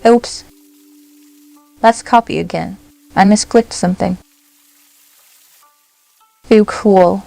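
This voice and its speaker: A woman narrating a software tutorial step by step, in short, simple instructional phrases. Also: mid-range voice, slow, creaky, long pauses, no room sound, faint music behind, rough recording.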